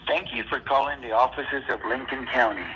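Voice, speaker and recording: A man reading a recorded phone menu greeting in a measured, formal way. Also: mid-range voice, fairly even intonation, pushed and bright, slight background noise, poor audio.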